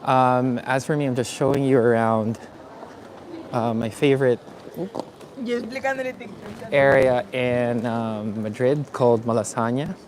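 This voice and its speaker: A man from the Philippines hosting a live walking tour outdoors, talking in a relaxed, chatty way with many fillers. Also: medium pitch, slow pace, long pauses. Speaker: vlogger. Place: city streets outdoors. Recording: faint street noise outdoors. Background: street traffic and ambience.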